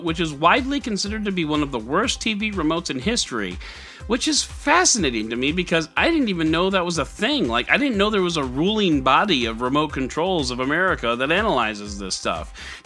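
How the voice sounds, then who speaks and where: A man's voice: a little high, sharp tone. A man hosting a scripted tech news podcast in a conversational, sarcastic way with wry asides. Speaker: podcast host.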